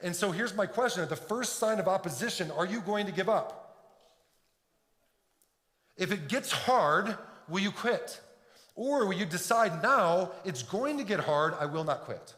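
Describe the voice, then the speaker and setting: A middle-aged man preaching a sermon in an earnest, driving way, circling back over his own words as he builds each point. His voice sits a touch high for a man. It rings out in a church hall with a long, roomy echo. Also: expressive, unhurried, fairly bright tone. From Detroit. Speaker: pastor. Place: church sanctuary. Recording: echoey hall with long reverb.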